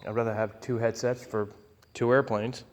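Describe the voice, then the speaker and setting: A man giving a casual, unscripted walkthrough as an aircraft owner, rambling and halting in a folksy way. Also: mid-range voice, level tone. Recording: long hall-like reverb.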